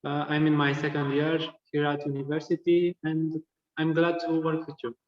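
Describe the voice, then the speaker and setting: A man in his twenties introduces himself as a student in a very even, fairly high voice. The call audio is echoey. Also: moderate pace, muffled recording. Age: 20s. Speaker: university student. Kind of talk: self-introduction.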